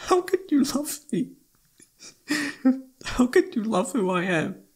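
A young man playing a lovesick, self-blaming best friend in a halting, emotional, intimate romantic audio roleplay, with a husky voice. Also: high-pitched, sing-song, heavily acted. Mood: anguished.

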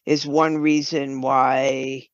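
An older woman speaking as an interview guest, halting and deliberate, in a slightly dull recording. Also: extremely low pitch, level tone, no echo. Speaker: guest, game writer and producer. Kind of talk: conversational interview.

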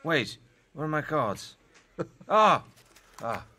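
An actor playing a cocky naval commander who seems tipsy at cards, slurring and careless, in a radio drama. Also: male voice, on the high side, very animated, takes it slowly, pushed and bright, slightly rough, full character voice. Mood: tipsy, rueful.

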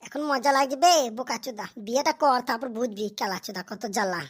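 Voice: medium pitch, a little fast, husky, no echo, lightly acted. A performer voicing a cheeky, crude, boastful younger brother in a slapstick comedy cartoon. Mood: teasing.

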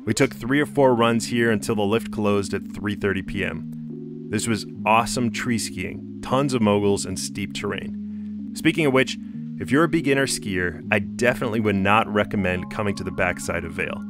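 A young man from the United States reads a scripted vlog voice-over with an upbeat, informative manner. His voice sits a bit low. Soft background music plays quietly underneath. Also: moderate pace. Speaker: vlogger. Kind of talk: scripted travel narration. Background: light background music.

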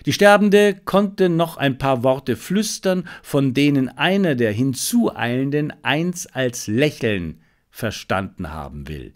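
A male audiobook narrator reads a detective story. His medium-pitched voice rises and falls widely, giving the scripted prose a very animated contour. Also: slow pace.

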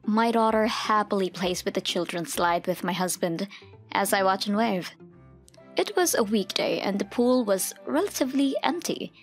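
A woman narrates a story in the first person from a script. Her pitch is middling and her manner earnest. Soft music runs underneath. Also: normal speed.

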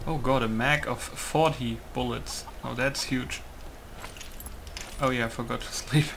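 A man's voice: mid-range voice, steady tone, a little slow, vocal fry, noisy background. A man giving casual gameplay commentary, musing aloud and often asking himself questions. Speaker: gaming video host. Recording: music playing behind the voice. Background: music.

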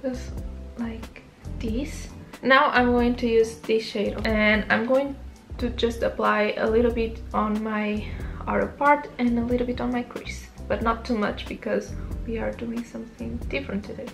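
A woman's voice: fairly high pitch, fairly even intonation, unhurried, creaky, soft background music. A young woman with a Portuguese accent, chatting through a makeup tutorial, often correcting herself. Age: young adult. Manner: chatty, self-correcting, rambling asides. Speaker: beauty vlogger. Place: small bedroom, indoors. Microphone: camera close up.

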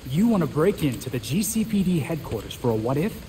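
Mellow, serious young vigilante. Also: male voice, a little high, sing-song, fast talker, a little hiss or hum. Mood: serious, subdued. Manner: matter-of-fact, terse. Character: serious, pragmatic young male vigilante leader.

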